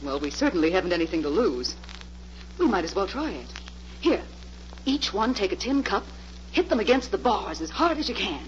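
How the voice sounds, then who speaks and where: A woman's voice: mid-range voice, big pitch swings, moderate pace, close to an everyday voice. An actress playing a resolute but horrified captive woman in a dramatic 1940s radio style. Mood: resolute, urgent. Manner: earnest, dramatic. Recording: muffled, noisy old radio recording.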